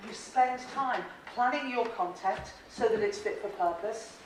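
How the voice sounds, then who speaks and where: A woman's voice: fairly high pitch, measured pace, slight background noise. An Irish woman leading a presentation-skills workshop for a live group, chatty and encouraging, laughing often and asking the room questions. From Ireland. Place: large echoey training room. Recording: very echoey room audio, from a distance.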